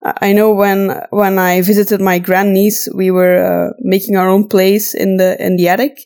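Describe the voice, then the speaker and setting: A woman's voice: mid-range voice, flat delivery, moderate pace, darkish tone. A woman from Flanders with a Flemish accent, a native Dutch speaker, chatting casually and self-deprecatingly as a podcast host answering listener questions. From Flanders, Belgium. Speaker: podcast host and audio drama voice actor.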